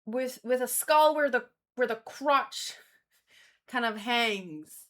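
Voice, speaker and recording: A woman hosting a read-along in a casual live commentary style, with expressive, exclamatory reactions. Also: a little high, slow pace. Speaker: host of a manga read-along stream.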